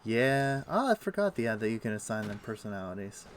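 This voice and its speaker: A man's voice: on the low side, sing-song, brisk. A man casually chatting and thinking aloud while he plays a game on a live stream. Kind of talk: casual chat while playing. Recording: noisy home stream audio.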